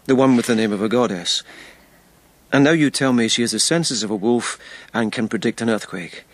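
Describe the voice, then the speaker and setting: An actor playing a blunt, sceptical but protective army lieutenant in a radio drama, plain-spoken and a little husky. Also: male voice, mid-range voice, fairly quick, no echo, natural voice.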